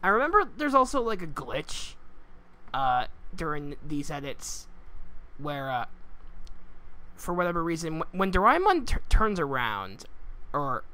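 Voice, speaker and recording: A woman's voice: low voice, sing-song, measured pace, long pauses, pushed and bright, low-quality recording. A woman giving casual, self-deprecating commentary as a fandub creator, swearing freely, recorded at home.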